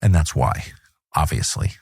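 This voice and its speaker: A man from California interviewing a guest, asking probing, deliberate questions. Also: very deep voice, very animated, vocal fry, darkish tone, husky, dry recording. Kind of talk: interview conversation.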